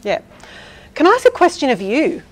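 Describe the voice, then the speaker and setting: Energetic, loud-voiced woman speaker. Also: high-pitched, moderate pace, a little hiss or hum, poor audio. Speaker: conference speaker. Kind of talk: talk and Q&A. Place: event hall with audience.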